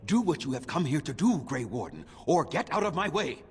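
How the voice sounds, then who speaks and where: An actor playing a vengeful old elf leader in a fantasy game, speaking gravely and formally with defiance. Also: male voice, fairly high pitch, big pitch swings, a little fast, exaggerated voice. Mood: scornful, defiant.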